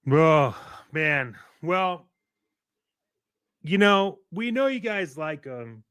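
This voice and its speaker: A man's voice: a little high, very animated, extremely slow, a bit bright, clean tone, no room sound. A man hosting a podcast in a casual, chatty style with a playful showman's manner. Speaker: podcast host. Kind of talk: casual podcast chat with sponsor reads.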